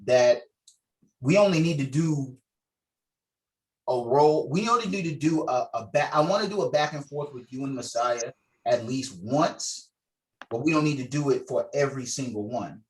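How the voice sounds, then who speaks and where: A man's voice: mid-range voice, darkish tone, poor audio. A man chatting casually and explaining things in an informal, colloquial way on a video call, as the organiser of a table read. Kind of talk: casual meeting chat. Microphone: video call microphone.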